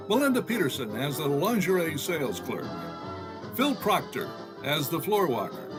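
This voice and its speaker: A man reading scripted credits as an old-time radio announcer. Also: on the high side. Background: music.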